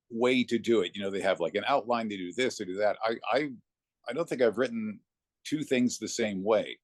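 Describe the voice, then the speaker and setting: Low-voiced middle-aged man chatting casually. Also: no echo. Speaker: interview guest, voice actor. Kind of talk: casual interview chat, telling anecdotes.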